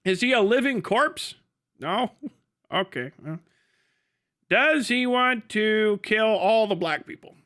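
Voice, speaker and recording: High-pitched, bright-voiced sarcastic man. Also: lively intonation, takes it slowly, long pauses. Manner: sarcastic, acts out imagined conversations in different voices. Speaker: live stream host. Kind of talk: casual profane political commentary.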